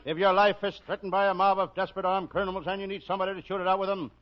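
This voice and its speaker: An American man playing a deadpan hard-boiled private detective, narrating a 1940s radio parody. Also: high-pitched, racing, pitched above the performer's own voice, lightly acted. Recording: noisy, muffled 1940s radio recording.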